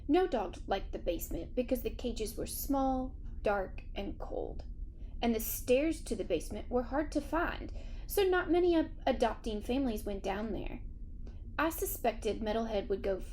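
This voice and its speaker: A woman reading a children's story aloud in an expressive first-person narration. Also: fairly high pitch, normal speed, slight background noise. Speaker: audiobook reader.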